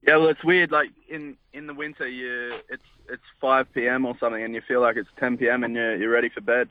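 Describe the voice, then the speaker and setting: A man's voice: medium pitch, level tone, fairly quick. A young man, a guest athlete calling in, talking in an easygoing way with plenty of fillers, over a tinny phone line. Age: young adult. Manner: easygoing, laughs, hesitant fillers.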